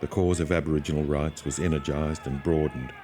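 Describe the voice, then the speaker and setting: Very deep-voiced male narrator. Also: fairly even intonation, a little fast, noisy background. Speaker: documentary narrator. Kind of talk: scripted documentary narration.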